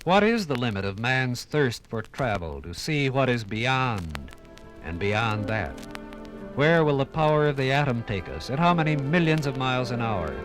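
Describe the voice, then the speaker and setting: An American man narrating a 1950s radio documentary in a theatrical, oratorical manner with dramatic pauses. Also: medium pitch, sing-song. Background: music.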